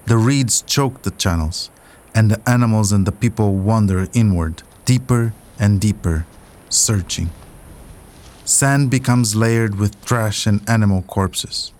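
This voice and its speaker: Low-voiced man narrating a documentary. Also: a little slow, darkish tone. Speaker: podcast narrator.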